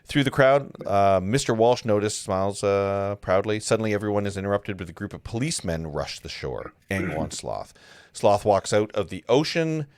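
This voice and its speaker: Animated low-pitched man reading a script. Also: moderate pace, clear, resonant tone, no room sound, clean studio sound. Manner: informal, amused, interjecting. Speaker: host and stage-direction reader. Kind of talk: reading script aloud with casual asides.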